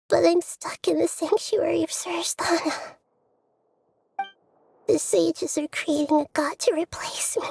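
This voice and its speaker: A woman voicing a gentle, childlike young goddess of wisdom in a video game, soft, hesitant and contemplative. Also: extremely high pitch, a little hiss or hum, exaggerated voice. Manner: soft, hesitant, contemplative, often near whispering. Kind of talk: video game cutscene acting.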